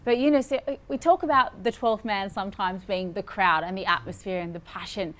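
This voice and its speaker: A woman's voice: medium pitch, brisk, a bit bright. Woman hosting a sports panel. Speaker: host of a cricket discussion show.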